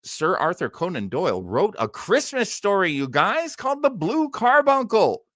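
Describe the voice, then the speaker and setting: A man's voice: high voice, big pitch swings, normal speed, fairly bright tone, dry recording, clean studio sound. A man hosting a livestream in a casual, rambling, jokey way, often interrupting himself. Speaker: livestream show host.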